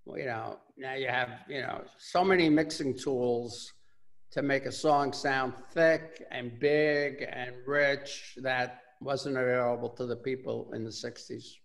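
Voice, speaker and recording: A man's voice: medium pitch, unhurried, creaky. Older man with a Bronx accent. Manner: relaxed, rambling, many fillers. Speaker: guitarist guest. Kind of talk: casual interview chat.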